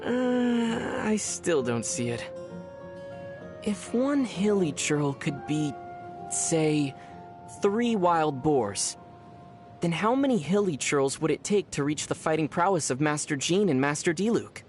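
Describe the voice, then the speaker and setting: Low-voiced, calm young male traveler. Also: takes it slowly, faint music behind. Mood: debating. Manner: dry, understated teasing. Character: young male traveler hero, calm, dry, gently teasing. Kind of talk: video game voice acting.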